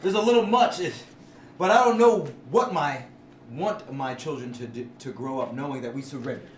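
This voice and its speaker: An actor playing a blustering, dismissive government minister in a staged sci-fi reading. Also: male voice, mid-range voice, normal speed, lightly acted. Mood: argumentative. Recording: distant, echoey live room with faint noise.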